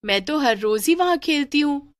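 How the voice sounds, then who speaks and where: A strange, playful young girl, played by a woman in an animated ghost story. She coaxes in a high, very lively voice, recorded dry. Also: normal speed, dry recording. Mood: coaxing. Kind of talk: animated children's horror story acting.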